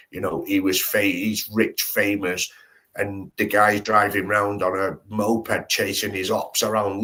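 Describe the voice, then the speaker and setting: A man hosts a live stream in loose, slangy talk. He rambles and restarts his sentences often, in a low voice. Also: a bit bright. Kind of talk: casual live-stream chat.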